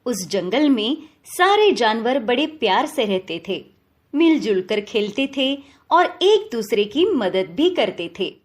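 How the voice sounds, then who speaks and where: A woman's voice: on the high side, big pitch swings, slow pace. A woman narrating a scripted children's story as the storyteller.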